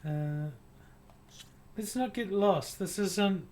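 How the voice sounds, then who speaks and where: A man with a New Zealand accent streaming a game, chatting softly and hesitantly with many fillers and corny jokes. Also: high voice, extremely slow, a little hiss or hum. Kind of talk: casual gaming livestream chat. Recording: loud game music behind.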